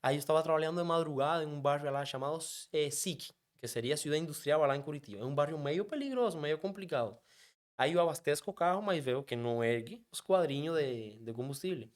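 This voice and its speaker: A man with a Cuban Spanish accent tells his story at a quick clip, slipping Spanish words in as he goes. His voice sits slightly high for a man and moves fast and animated. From Cuba. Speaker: guest, app driver. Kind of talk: casual storytelling interview.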